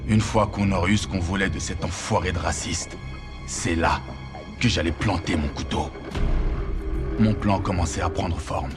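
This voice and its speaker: An actor as a grim, low-voiced Allied squad leader. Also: male voice, normal speed, over music, close to an everyday voice. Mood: grim resolve. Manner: grim, determined. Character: resolute captured Allied squad leader recounting his revenge plan. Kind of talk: cinematic war game narration and dialogue.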